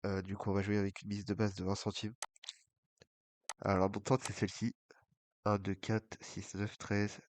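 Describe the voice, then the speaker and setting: A deep-voiced man with a head cold talks in a flat, even tone, his words thick and nasal from a blocked nose. He narrates a casino session casually and drifts into mumbling to himself as he clicks through bets. A clear hiss runs under the recording. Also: normal speed, pauses often. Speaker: online casino streamer. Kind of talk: casual play-by-play of an online baccarat session.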